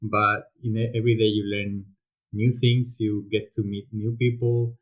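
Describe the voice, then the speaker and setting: A man with a Spanish accent speaks in a low, fairly flat voice. He talks casually and thoughtfully as a podcast guest, pausing with ums and restarting his sentences. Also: rough recording. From Spain. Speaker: podcast guest, volunteering program director. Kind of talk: casual interview talk.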